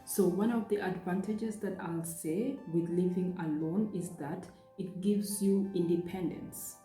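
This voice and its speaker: A woman's voice: on the low side, a little slow, mellow. Chatty young woman from Uganda, echoey room. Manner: chatty, rambling, friendly. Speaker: vlogger. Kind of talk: casual vlog talk. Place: apartment room. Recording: echoey room, faint music.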